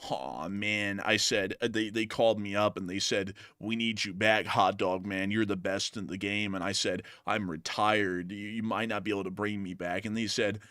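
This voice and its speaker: A man doing a low, mopey, sighing fly. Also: steady tone, a bit bright, no room sound, studio quality. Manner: slow, mumbling, sighing "aw, man". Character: gloomy, mopey male fly character, a gas station worker.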